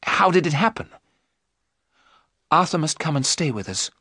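Man voicing an earnest young wife, pitched up. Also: expressive, normal speed, bright, forceful tone, slightly rough, dry recording, clean studio sound, full character voice. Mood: concerned. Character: devoted, anxious young wife in a gothic story.

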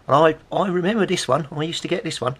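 A man's voice: on the high side, fast, a bit bright, breathy edge. A middle-aged British man giving casual, rambling, nostalgic commentary, chatty and musing aloud as he goes. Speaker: hobbyist presenter.

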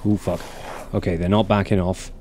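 A man's voice: sits low, little pitch movement, normal speed, warm tone, slight background noise. A man in his thirties giving casual, exasperated, sweary gameplay commentary as he streams, close on the mic indoors. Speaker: video game streamer. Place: indoor room, seated at gaming setup. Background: game music and sound effects.

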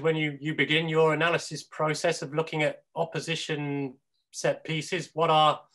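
A man's voice: medium pitch, bright, forceful tone. A man in his thirties hosting a webinar from a room at home, asking questions hesitantly with many fillers over a video call. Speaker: host. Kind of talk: webinar moderating.

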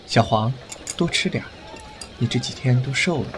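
A man's voice: medium pitch, moderate pace, slight background noise, natural voice. A man playing a courteous, protective young swordsman in a Chinese wuxia audio drama, speaking politely and earnestly. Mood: calm, gentle.

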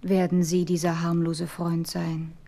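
Young lady, polite, composed, soft dark tone, lowish pitch, radio play. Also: monotone, a little slow. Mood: earnest, polite. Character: composed, polite young gentlewoman seeking help. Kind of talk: radio drama acting.

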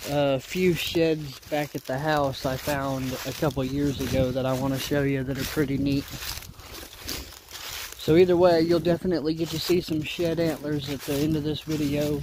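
Outdoor vlogger, male, slightly high voice, folksy and rambling, noisy handheld field recording. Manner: rambling, folksy, thinking aloud. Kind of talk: casual vlog commentary while walking. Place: outdoors in leafy winter woods. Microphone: handheld camera or phone, behind the lens.

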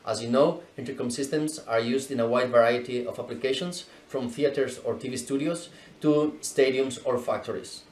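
A man's voice: medium pitch, normal speed. Guy from spain explaining gear step by step, careful scripted delivery, showroom, mic a bit off. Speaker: product presenter. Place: indoor showroom with equipment.

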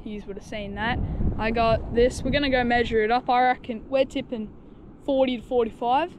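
A teenage boy with an Australian accent hosting a fishing vlog, chatting excitedly on a surf beach into an action camera. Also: very high voice, moderate pace. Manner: excited, shouts when fish are hooked. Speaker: fishing channel host. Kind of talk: casual vlog chat. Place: outdoors on a sandy surf beach. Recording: windy outdoor action-cam audio.

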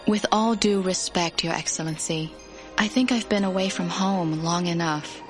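An actress playing an earnest, brave young woman warrior in an animated film. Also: fairly low pitch, normal speed, close to an everyday voice. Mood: respectful. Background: music.

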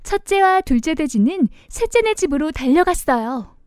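Female children's storyteller: high voice, lively intonation, expressive, simple narration for little ones. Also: moderate pace, no echo, clean studio sound.

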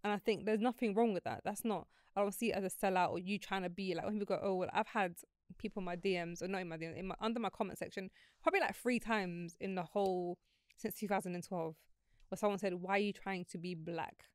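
A young woman hosting a podcast, chatting casually and telling stories in a lively, slangy way from a studio. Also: medium pitch, clear, resonant tone, no room sound. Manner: fast, animated storytelling with slang. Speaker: podcast host. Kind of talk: casual chat. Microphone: studio mic on a boom arm.

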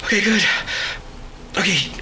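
A man's voice: sits high, measured pace, sharp tone, slight background noise, exaggerated voice. An actor playing an affectionate middle-aged father in a radio drama. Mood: pained, urgent. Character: affectionate father, playful, then gritting through pain.

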